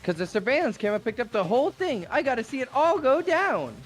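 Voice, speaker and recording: A woman's voice: high-pitched, big pitch swings, fast talker, faint music behind, low-quality recording. A woman voicing a smug, mocking, murderous robot teddy bear mascot from a visual novel, mock-cheerful and taunting. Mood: explanatory. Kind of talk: amateur dramatic reading of a visual novel.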